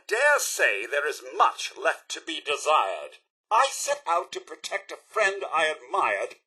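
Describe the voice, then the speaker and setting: A man's voice: a little high, sharp tone, husky, heavily acted, rough recording. A man voicing a polite, fussy robot butler for a video game, with a British accent and a posh, theatrical, formal manner. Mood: scornful. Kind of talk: video game character voice lines.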